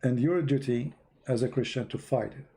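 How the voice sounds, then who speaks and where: A man's voice: mid-range voice, moderate pace, mellow. A man, an English speaker, giving a blunt, emphatic religious talk to an online audience, repeating himself for stress. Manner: blunt, emphatic, repeats words, non-native grammar. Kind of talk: live-streamed religious talk.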